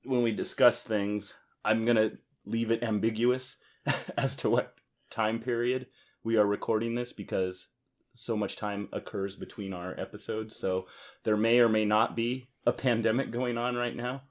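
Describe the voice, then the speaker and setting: Low-voiced man hosting a casual podcast. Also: steady tone, measured pace. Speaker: podcast host. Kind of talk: casual chat about board games.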